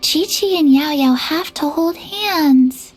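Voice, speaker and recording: A woman voicing a sluggish, forgetful little girl in a video game, halting and blank, with soft music behind. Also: high voice. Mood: solemn, reciting. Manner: halting, flat, drowsy.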